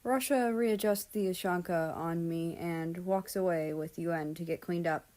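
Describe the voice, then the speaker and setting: A woman's voice: lowish voice, measured pace, a little mellow. A woman reading a piece of fan fiction aloud as scripted story narration.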